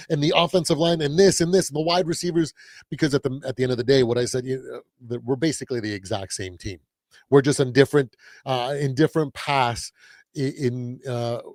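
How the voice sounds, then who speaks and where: A man hosting a casual sports talk livestream, his voice a little raspy while he gets over a cold, rambling with lots of restarts and fillers. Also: medium pitch, a little mellow, no echo, clean studio sound. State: recovering from a cold. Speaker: host.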